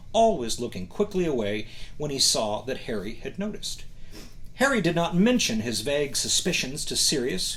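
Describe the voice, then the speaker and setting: A man speaking as a host and book reader, expressive and emphatic, with dramatic pauses. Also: on the high side. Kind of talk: enthusiastic talk to camera and reading aloud from a novel.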